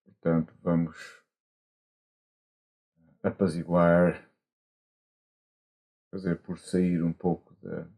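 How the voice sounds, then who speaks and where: A man with a European Portuguese accent, a monk guiding a meditation gently and haltingly, with long pauses, over a video call. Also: low-pitched. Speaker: Buddhist monk, meditation teacher. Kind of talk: guided meditation instruction. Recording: muffled, dull online call audio.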